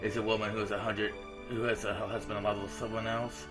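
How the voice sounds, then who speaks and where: A man's voice: lowish voice, flat delivery, fast. A man reading visual novel dialogue aloud as a game streamer, in a casual, informal manner. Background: visual novel game music.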